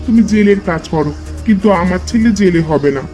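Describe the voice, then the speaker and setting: A man's voice: high-pitched, fairly quick, darkish tone, soft background music, close to an everyday voice. A man voicing a protective, scolding village mother who defends her child in a cartoon. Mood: defensive. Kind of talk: Bengali cartoon dialogue.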